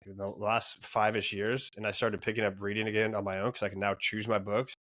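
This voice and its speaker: A man's voice: on the low side, little pitch movement, a little fast, a bit bright, no room sound. A man hosting a podcast in casual conversation, informal and opinionated. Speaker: podcast host. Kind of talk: casual chat.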